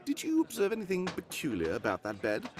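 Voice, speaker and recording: An actor playing a confident, commanding detective in a comic live radio mystery, with theatrical, self-assured delivery. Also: male voice, high voice, wildly swooping pitch, a little fast, a crowd in the background. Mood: grave, dramatic. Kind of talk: live old-time radio mystery acting, comedic.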